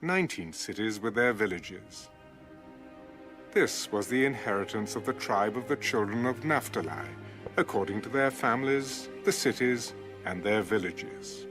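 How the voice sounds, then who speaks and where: A man reading Bible scripture as a scripted audiobook narration, measured and deliberate. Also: fairly low pitch, lively intonation, over music.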